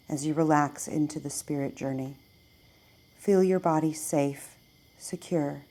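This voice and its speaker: A woman reading a scripted guided meditation in a calm, measured, hushed way, as a narrator leading the listener. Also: low-pitched, vocal fry.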